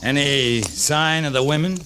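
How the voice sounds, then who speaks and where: An actor playing a stern, principled Old West cavalry captain in a radio western, speaking in clipped, commanding military style. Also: male voice, on the high side, unhurried, lightly acted. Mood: matter-of-fact. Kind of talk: 1950s radio western acting. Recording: old radio drama recording, faint hiss.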